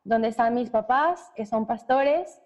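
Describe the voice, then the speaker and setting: A woman's voice: fairly high pitch, a little slow, darkish tone. A young Mexican woman with a Mexican accent, introducing herself as a podcast guest in a bubbly way and laughing through her words. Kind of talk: casual self-introduction. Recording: dull lo-fi audio.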